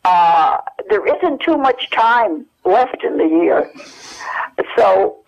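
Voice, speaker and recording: Older American woman, mid-pitched, halting anecdotal interview guest, dull telephone audio. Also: lively intonation, vocal fry. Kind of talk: casual reminiscing interview.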